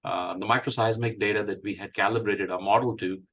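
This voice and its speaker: A man with a cold, hoarse, giving a halting technical presentation with many fillers. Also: on the low side, steady tone, a bit bright. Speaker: engineering presenter. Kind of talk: technical presentation at an online meeting.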